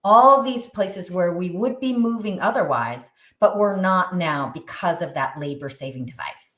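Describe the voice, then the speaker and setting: A middle-aged woman, a guest expert on movement and wellbeing, speaking in an animated, emphatic conversational way with frequent restarts. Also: fairly low pitch, measured pace, roomy sound. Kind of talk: conversational interview.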